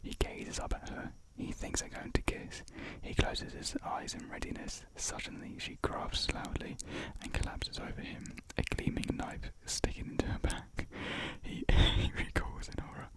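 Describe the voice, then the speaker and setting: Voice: moderate pace, rough recording. A reader whispering the stage directions of a comic thriller script, laughing at their own slips. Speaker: narrator reading a stage script. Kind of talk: ASMR whispered script reading.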